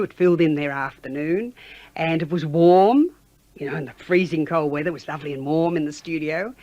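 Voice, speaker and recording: An Australian woman in her sixties reminiscing in a chatty, halting conversational way, as a former radio announcer being interviewed. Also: lowish voice, no room sound, muffled recording. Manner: chatty, halting, with frequent "you know".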